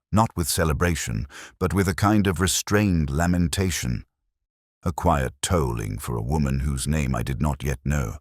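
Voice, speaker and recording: A man narrating a scripted first-person detective story in a measured, solemn, literary manner. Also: very deep voice, no echo, studio quality.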